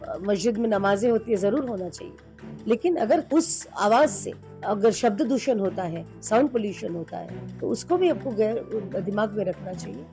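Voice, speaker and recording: A woman giving an unscripted news sound bite, halting and correcting herself as she speaks. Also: mid-range voice, animated, mellow. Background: music under the voice.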